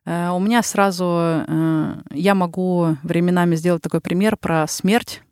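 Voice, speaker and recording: A woman in her thirties from the Urals with a Ural Russian accent, a psychologist talking slowly and reflectively as a podcast guest, with frequent filler sounds. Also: on the low side, fairly even intonation, darkish tone, clear, resonant tone, no room sound, clean studio sound. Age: 30s. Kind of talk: casual interview talk.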